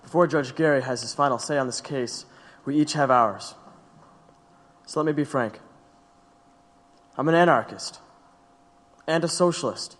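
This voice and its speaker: A man's voice: medium pitch, a little slow, long pauses, a bit bright, lightly acted. An actor playing a defiant, impassioned male anarchist defendant, giving an emphatic, rhetorical courtroom speech in an audio drama. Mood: frank, resolute. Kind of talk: theatrical courtroom oratory. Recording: long hall-like reverb.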